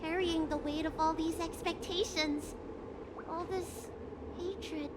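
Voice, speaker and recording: A woman voicing an earnest, expressive little dragon girl in a video game. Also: very high-pitched, slow pace, background noise. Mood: sorrowful. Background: music.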